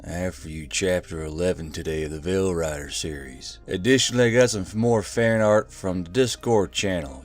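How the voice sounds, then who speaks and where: A man hosting and narrating a story, with a casual, offhand way of talking. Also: deep voice. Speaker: channel host and narrator. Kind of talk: casual intro, then audiobook-style story narration.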